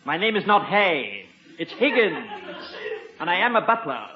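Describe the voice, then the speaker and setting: An actor playing a haughty, fastidious English butler in a radio comedy, speaking formally with dry sarcasm and an English accent. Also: male voice, high voice, very animated. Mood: pompous, dry. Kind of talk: 1950s radio comedy acting. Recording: muffled, echoey, very noisy old radio recording.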